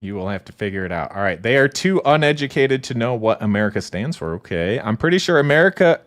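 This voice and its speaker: A man co-hosting a casual political podcast, sarcastic and quick to laugh as he reads comments aloud. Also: sing-song, fairly bright tone. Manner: sarcastic, laughing, big swings in pitch. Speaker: podcast co-host.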